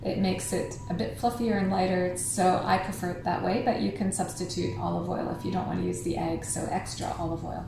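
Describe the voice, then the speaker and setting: A woman with a Canadian accent, a cooking teacher calmly explaining local food and a recipe step by step. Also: lowish voice, a little fast, gravelly, few pauses, background noise. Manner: friendly, informative, pointing things out as she goes. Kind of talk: relaxed explanatory demonstration. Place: outdoor market, then a cooking school kitchen.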